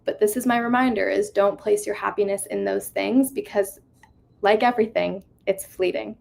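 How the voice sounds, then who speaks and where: Twenties woman speaker, vocal fry, earnest and reflective talk over a video call. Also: mid-range voice, fairly even intonation, moderate pace, a little mellow, slight background noise. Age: 20s. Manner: earnest, reflective, many fillers. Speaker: conference speaker. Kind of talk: motivational talk with slides. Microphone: video call mic.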